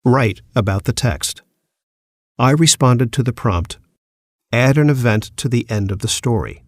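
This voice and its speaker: A man's voice: medium pitch, animated, a little slow, dry recording, clean studio sound. A man reading scripted educational text aloud.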